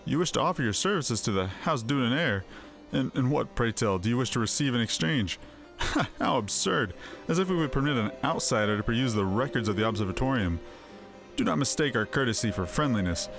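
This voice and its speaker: A man voicing a gruff, haughty fortress commander in a fantasy game, formal and disdainful. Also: medium pitch, lively intonation, fairly quick, fairly bright tone. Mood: scornful. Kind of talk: acted fantasy game dialogue. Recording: music behind the voice.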